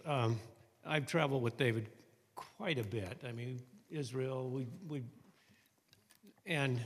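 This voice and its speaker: An older man speaks informally to a church congregation in a hall with long reverb. His mid-pitched voice comes in halting phrases, reflective and plainspoken. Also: husky. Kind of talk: informal personal talk to a congregation. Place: large hall, speaking to a gathering.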